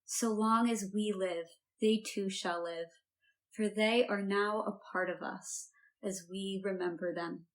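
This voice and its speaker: A woman solemnly reciting a memorial litany in a measured, repeating cadence. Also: mid-range voice, fairly even intonation, low-quality recording. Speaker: reader at a memorial service.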